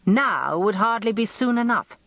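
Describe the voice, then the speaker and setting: Woman acting older male barrister, gruff, dry, sardonic, sharp-tongued, lively pitch, dull lo-fi radio drama sound. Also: normal speed, no room sound.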